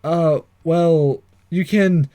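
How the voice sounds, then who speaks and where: A man's voice: fairly high pitch, extremely slow, warm tone. A man giving casual, hesitant and wry game commentary, reading some of the text aloud as he plays. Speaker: let's-play host.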